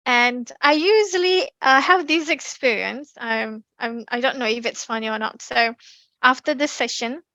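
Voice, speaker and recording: Bright-voiced Indonesian woman presenting. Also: a little high, moderate pace, no echo. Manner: lively, chatty, frequent ums and restarts. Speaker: community speaking presenter. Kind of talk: online class presentation. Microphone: computer mic on a video call.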